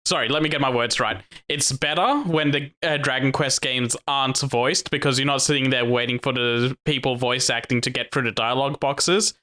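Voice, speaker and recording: A man's voice: mid-range voice, fairly even intonation, moderate pace, few pauses, pushed and bright. A man co-hosting a casual podcast, often restarting and correcting his words mid-sentence. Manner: stumbling restarts, self-correcting. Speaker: podcast co-host. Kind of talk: casual chat.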